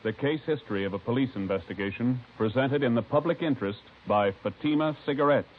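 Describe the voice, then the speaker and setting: Casting: male golden-age radio announcer, medium pitch, formal sponsor reads, tinny sound with faint noise. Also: quick pace.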